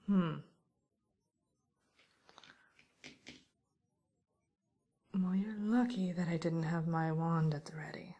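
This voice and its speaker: A woman playing a wry, teasing witch who scolds an intruder in an ASMR roleplay, speaking softly and often in a whisper. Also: on the low side, fairly even intonation, moderate pace, lightly acted. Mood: wry suspicion. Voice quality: soft, breathy, often whispered. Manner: teasing, musing, mock-stern, theatrical.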